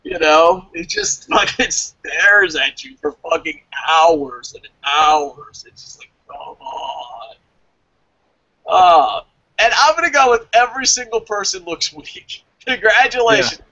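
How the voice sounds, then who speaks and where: A man's voice: high-pitched, lively intonation, takes it slowly, bright, forceful tone, muffled recording. A man in casual, profane banter as co-host of a review show, ranting in exasperation and swearing often.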